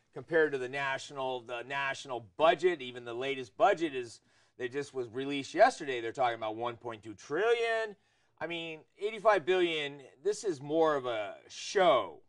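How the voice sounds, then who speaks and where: A middle-aged American man hosting a political talk show, giving his opinions in conversation. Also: fairly high pitch.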